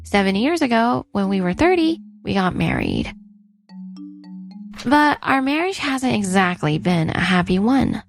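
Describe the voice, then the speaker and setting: A woman's voice: mid-range voice, sing-song, measured pace, creaky, soft background music. A woman acting and narrating as a weary but outspoken wife in her thirties in a dubbed story. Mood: weary, matter-of-fact. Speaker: narrator and lead character.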